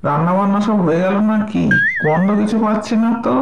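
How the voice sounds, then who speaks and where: An echoey, dull recording carries a man playing a fussy cartoon dinner guest. His high voice grumbles hungrily, full of demands and teasing complaints. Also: quick pace, creaky, background noise. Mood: grumbling. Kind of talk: amateur cartoon voice acting.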